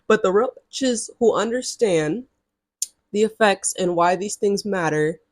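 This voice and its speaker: A woman's voice: medium pitch, expressive, slow pace, no room sound, clean studio sound. A woman in her early twenties telling a storytime to her viewers in a chatty, sassy way, with frequent direct asides. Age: early 20s. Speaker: vlogger. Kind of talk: casual storytime to camera.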